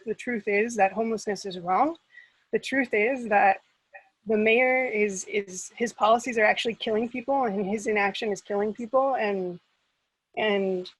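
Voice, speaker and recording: A woman's voice: medium pitch, low-quality recording. A woman who works as a community organizer speaks on a video call panel. She talks with passion and often restarts her sentences. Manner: impassioned, with repeated restarts. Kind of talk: impassioned panel discussion.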